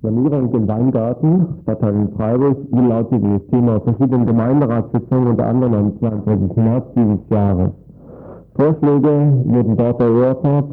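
A man reading a scripted radio background report in a formal, factual manner. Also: medium pitch. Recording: tinny phone-like radio recording with music. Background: music.

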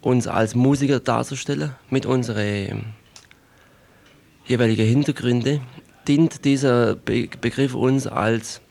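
A man, a musician, answering as a guest in an unscripted radio interview, reflective and wordy, with hesitant, halting phrasing. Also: mid-range voice, steady tone, gravelly, darkish tone.

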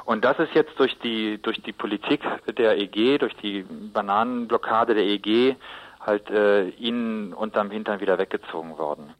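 Halting man explaining in an interview. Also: lowish voice, highs cut off. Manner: halting, repeats words while searching for phrasing. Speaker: interviewed expert on Nicaragua. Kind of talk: spontaneous explanatory radio interview.

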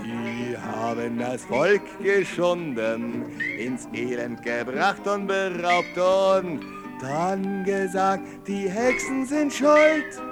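Man, protest folk singer, slow mocking theatrical delivery, high voice, prominent instrumental backing. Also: animated. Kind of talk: satirical political ballad singing. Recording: music accompaniment behind the voice. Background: musical instrument.

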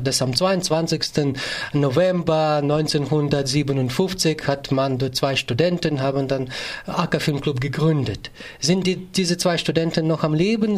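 A man hosting a live morning radio interview, improvising his questions haltingly with false starts and rephrasings. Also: on the high side.

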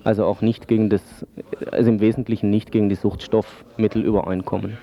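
A man giving formal, measured explanations as an expert guest in a radio interview, with dull lo-fi sound. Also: lowish voice, level tone. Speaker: drug-aid expert guest.

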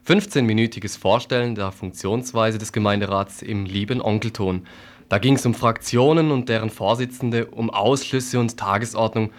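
A male reporter narrates a scripted satirical radio piece. His voice sits a little low, and his delivery is ironic and mock-earnest. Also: moderate pace.

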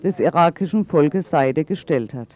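A man's voice: on the high side, expressive, normal speed, darkish tone. A man working as an interpreter, delivering a spoken translation of interview answers. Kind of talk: consecutive voice-over interpreting. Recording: slightly dull radio sound.

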